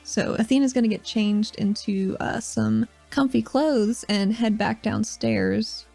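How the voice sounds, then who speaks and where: A woman playing in a tabletop role-play podcast who talks in a giggly, animated way. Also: fairly high pitch, unhurried, gravelly, faint music behind. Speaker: player and co-host.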